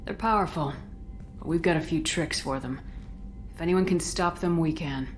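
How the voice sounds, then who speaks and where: Low-voiced, tough female commander played by an actress. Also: moderate pace, faint music behind, close to an everyday voice. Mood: determined. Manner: firm, blunt, terse. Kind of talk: dramatic video game dialogue.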